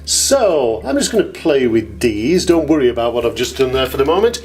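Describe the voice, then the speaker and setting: A man's voice: medium pitch, very animated, moderate pace, darkish tone, a little hiss or hum. A man giving an informal, enthusiastic hands-on tutorial on an effects unit, at times with echo on his voice. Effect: echo and delay at times. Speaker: demonstrator of an audio effects unit.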